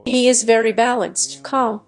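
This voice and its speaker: A synthetic woman's voice reading a machine-translated voice-over dub with stiff, even phrasing and odd word choices. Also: a little high, a little slow. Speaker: AI dub voice for every speaker.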